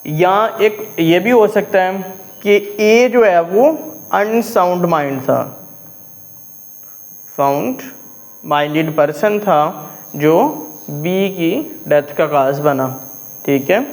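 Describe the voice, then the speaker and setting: Slow, high-voiced male law lecturer. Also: rough recording. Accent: Pakistani. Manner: explains step by step, often checks in with "okay"; reads legal English phrases slowly and deliberately.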